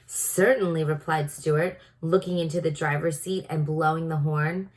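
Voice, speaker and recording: Lowish-voiced woman reading aloud to children. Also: fairly even intonation, normal speed, poor audio. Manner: teacherly, prompting listeners. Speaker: storybook reader. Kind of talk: read-aloud narration for children.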